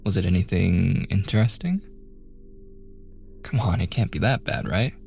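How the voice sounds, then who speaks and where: A young man with a very low, gravelly voice acts out a teasing younger brother in an intimate audio roleplay. He talks softly and takes his time, with casual, halting phrasing and a playful edge. Faint music plays behind him. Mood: teasing, curious. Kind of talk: boyfriend ASMR roleplay, soft intimate conversational acting.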